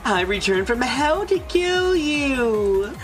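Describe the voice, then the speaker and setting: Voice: sits high, pitched above the performer's own voice, exaggerated voice. A streamer voicing a hateful, taunting witch for an amateur fan dub of a visual novel. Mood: gloating, mad. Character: gloating, hateful witch in a dead aunt's form.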